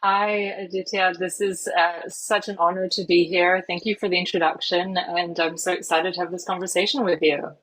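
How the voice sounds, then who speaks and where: A woman's voice: on the low side, level tone, normal speed, few pauses, fairly bright tone, slight background noise, rough recording. A woman in her forties with a hard-to-place English and German accent, a life coach chatting as a podcast guest, enthusiastic and full of "um" and "you know". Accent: mixed English and German, hard to place. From Singapore. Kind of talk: casual podcast interview.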